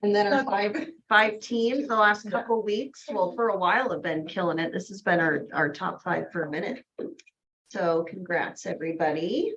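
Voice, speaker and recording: A woman hosting a casual office sales meeting, brisk and friendly, laughing often. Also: mid-range voice, fairly bright tone, dull audio, a little hiss or hum. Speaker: meeting host.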